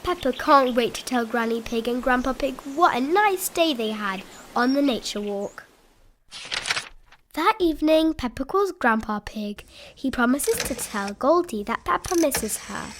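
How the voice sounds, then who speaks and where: A woman's voice: sits high, animated, unhurried, background noise. A woman reading a storybook aloud expressively for small children. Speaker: storybook reader.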